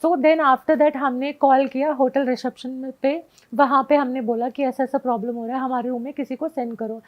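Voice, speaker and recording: A high-voiced woman tells her story in a casual, chatty way as a guest. She drops English phrases in often. The sound is slightly dull and lo-fi. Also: steady tone, normal speed. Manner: mixes Hindi with frequent English phrases. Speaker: guest telling a personal ghost story.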